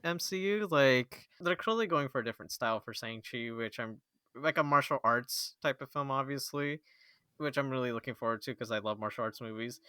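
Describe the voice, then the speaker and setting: A bright, forceful male voice on a movie podcast, recorded close and dry. He gets excitable and trips over restarts as he rushes to make his point. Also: medium pitch, clean tone, no room sound. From Filipino and Chinese heritage. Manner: excitable, stammers and restarts when eager. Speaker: podcast co-host. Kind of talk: casual movie review chat.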